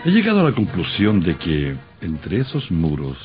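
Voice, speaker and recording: A man's voice: sits low, wildly swooping pitch, normal speed, loud music behind the voice. An actor playing a deferential, jokey beat policeman, eager to play sleuth, in an old radio drama. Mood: confiding, eager. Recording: dull old radio recording.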